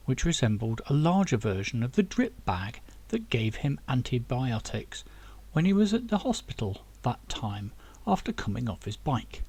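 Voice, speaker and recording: A man's voice: medium pitch, gravelly, darkish tone, slightly rough. A man narrating a fiction audiobook as the author reading his own novel.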